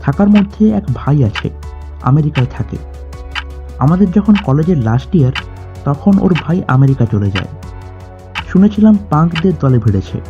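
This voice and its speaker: An actor playing a guarded middle-aged doctor in an audio drama, speaking in a clipped, formal way. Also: male voice, a little high. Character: curt, guarded doctor in his fifties, grieving a friend. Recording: slightly dull voice track under music. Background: background music.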